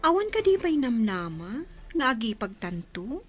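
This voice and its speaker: High-pitched, eager Filipino little sister. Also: female voice, big pitch swings, normal speed, faint music behind. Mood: teasing, insistent. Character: eager, teasing younger sister playing matchmaker. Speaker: radio drama actor. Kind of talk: Filipino radio serial acting.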